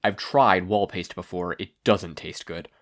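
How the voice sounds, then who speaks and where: A deadpan male spirit mentor from a story, as a reader plays him. He gives advice in a matter-of-fact way, at a middling pitch. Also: measured pace. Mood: deadpan, instructive.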